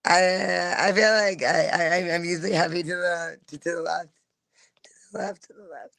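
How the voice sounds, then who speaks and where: A woman comedian talks in a gravelly voice on a podcast. She stumbles through stories with many restarts and acts out the quotes herself. The sound is dry and close. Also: fairly low pitch, steady tone, moderate pace, fairly bright tone, no room sound. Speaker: stand-up comedian guest. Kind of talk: casual podcast chat.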